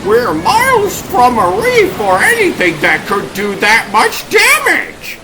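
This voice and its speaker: A woman's voice: high-pitched, wildly swooping pitch, slow, crowd noise, exaggerated voice. A woman voicing a fretful cartoon bird sea captain who punctuates phrases with squawks, in a comic dub over noise. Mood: puzzled. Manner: squawking tic at ends of phrases. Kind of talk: comic book dub acting.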